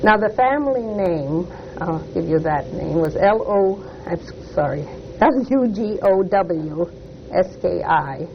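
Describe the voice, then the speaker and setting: Older gravelly Detroit voice on hissy tape. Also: mid-range voice, lively intonation. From Detroit, United States. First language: Polish. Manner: hesitant, with frequent "uh" pauses and self-corrections. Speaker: oral history interviewee. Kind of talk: slow, reflective life-story telling. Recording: muffled lo-fi tape with clear hiss.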